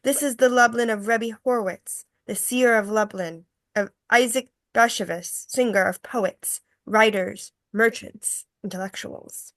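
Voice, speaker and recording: A woman's voice: a little high, a little slow, hardly any pauses, a bit bright, dry recording. A woman acting an earnest, scholarly tour guide in a table read monologue. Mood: earnest, reflective.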